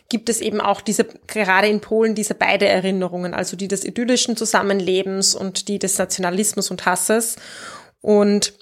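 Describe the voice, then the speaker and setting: A woman discussing books as a host, explaining things in a reflective, informal and conversational way. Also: mid-range voice.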